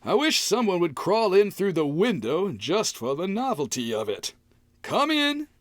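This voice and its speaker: A man's voice: high voice, big pitch swings, a little slow, no room sound, studio quality. A man playing a grumpy, exasperated homeowner with a folksy, exaggerated delivery in old-time radio comedy style. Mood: grumbling. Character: grumpy homeowner tidying his cluttered closet, testy.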